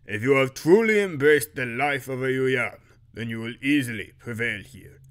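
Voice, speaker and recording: A man's voice: mid-range voice, moderate pace, a bit bright, smooth, close to an everyday voice. A man voicing a stern, solemn headmaster in an audio drama, speaking formally and with command. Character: stern, solemn male headmaster of an archer academy.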